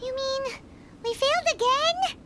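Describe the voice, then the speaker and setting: A woman voicing a tiny, cheeky, childlike fairy companion in a video game, with a squeaky, exclamatory delivery. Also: a little slow, very noisy. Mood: chirpy, whiny. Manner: speaks of herself in third person, exclamatory. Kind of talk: cartoonish video game voice acting.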